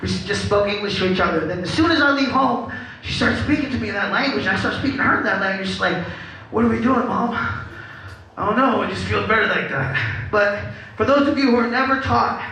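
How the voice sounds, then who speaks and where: A man with a very high, bright voice banters loosely from a punk club stage, cracking jokes and swearing between songs. His voice booms through the PA into a very echoey room. Crowd noise hums behind him. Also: fast. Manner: casual, joking, profane. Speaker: punk band frontman.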